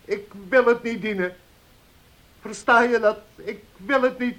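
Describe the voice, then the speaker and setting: A stern old uncle in a radio drama, with a notably high-pitched voice. He is pious, possessive and wounded, and speaks with solemn, commanding emphasis. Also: takes it slowly, a bit bright, exaggerated voice. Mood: indignant, commanding. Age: older. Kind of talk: Dutch radio play acting.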